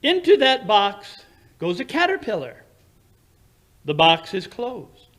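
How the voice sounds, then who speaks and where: Guy playing a brit detective in an old radio show, high voice, slow n lecturing, very animated. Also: heavily acted. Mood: patient, lecturing. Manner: deliberate, lecturing, theatrical. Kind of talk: old-time radio drama acting.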